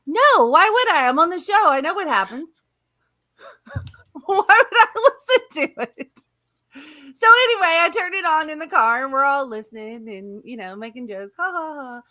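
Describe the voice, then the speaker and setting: Woman co-host, high-pitched and bright, giggly and animated in casual chat. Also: normal speed. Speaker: podcast co-host.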